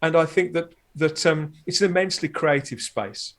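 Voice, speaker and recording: A man with a slightly higher-pitched voice talks casually on a podcast. He is chatty and rambles along in a jokey, informal way. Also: normal speed, a bit bright. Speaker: podcaster, guest on the show.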